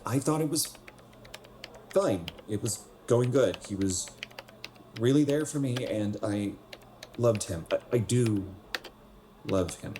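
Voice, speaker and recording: A young man playing a wistful, self-conscious 27-year-old in a naturalistic radio drama, speaking in a halting, conversational way. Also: medium pitch, expressive, background noise. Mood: wistful, guarded. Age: young adult.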